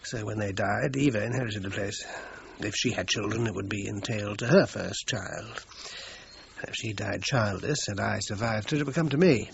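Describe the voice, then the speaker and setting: A man's voice: on the low side, normal speed, creaky. An actor playing a well-bred, guarded British man in a radio crime drama, speaking formally with evasive hesitations. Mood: formal, detached. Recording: dull lo-fi radio recording, faint noise.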